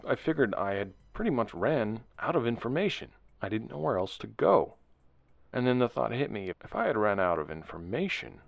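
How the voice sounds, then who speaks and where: A man playing an earnest, weary investigator in a sci-fi audio drama, talking in a halting, conversational way and repeating his words. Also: lowish voice, vocal fry. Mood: weary, frustrated. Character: earnest, weary male investigator chronicling outcasts' histories. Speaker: narrator and interviewer. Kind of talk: audio drama narration and dialogue.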